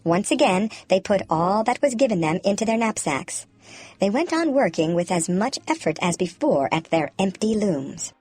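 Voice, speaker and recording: A woman reading a children's fairy tale as an expressive, clearly enunciated storyteller. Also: mid-range voice, normal speed. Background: background music.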